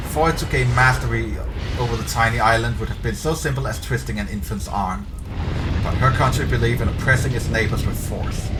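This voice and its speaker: A middle-aged man reading a story aloud in a narrator's style, occasionally stumbling over words. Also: medium pitch. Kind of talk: dramatic story read-aloud. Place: indoor room at a streaming desk. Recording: clear background ambience noise.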